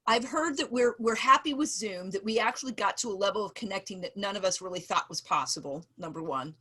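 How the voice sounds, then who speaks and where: A woman's voice: mid-range voice, fairly quick, bright, forceful tone, husky. A woman in her forties leading a video call meeting, summarizing points in an organized, numbered way. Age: 40s. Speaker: program facilitator.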